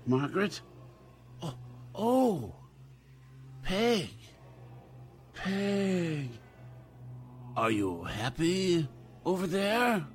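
A man playing a credulous, anxious uncle in a radio drama, with a halting, pleading, theatrical delivery. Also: high-pitched, big pitch swings, faint music behind, full character voice. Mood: tender, trembling. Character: credulous, anxious wealthy uncle yearning for dead woman.

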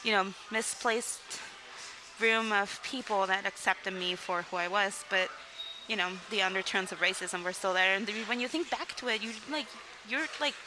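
Young woman from Mexico, interview guest, open and rambling, steady mid-range pitch, noisy recording. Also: normal speed. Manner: candid, rambling, frequent "like" and "um", laughs between sentences. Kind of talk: casual interview storytelling.

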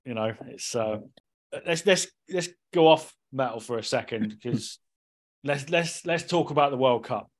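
A man's voice: mid-range voice, animated, a little slow. A man co-hosting a podcast, asking questions and teasing the others as he steers the chat. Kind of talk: casual chat.

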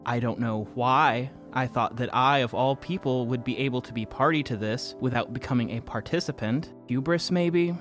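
A man's voice: medium pitch, moderate pace, few pauses, a bit bright, natural voice. A man playing a rueful, introspective narrator who blames himself in a first-person audio drama. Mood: rueful, self-deprecating. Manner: introspective, self-deprecating. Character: rueful, self-blaming man confessing his own hubris. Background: music.

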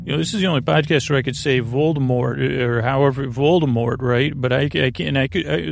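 A man with a gravelly voice hosting a bedtime podcast in a halting, whimsical, rambling monologue that keeps interrupting itself. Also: mid-range voice, no echo, studio quality. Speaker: podcast host.

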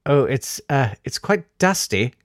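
A man's voice: medium pitch, sing-song, a little slow, dry recording, clean studio sound, voice pushed up. A man playing a polite, naive antiques valuer in a comic story, with prim, formal manners. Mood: polite, brisk.

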